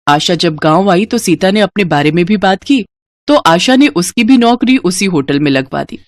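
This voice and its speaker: A woman's voice: on the low side, animated, normal speed, dry recording. A woman reading scripted narration as the narrator of a story.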